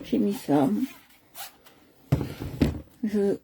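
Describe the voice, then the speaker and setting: A woman's voice: medium pitch, drawn-out words, dark tone, slight background noise. A woman from Nice hosting a live coloring stream, chatting casually with many hesitations and fillers, talking into a computer at home. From Nice, France.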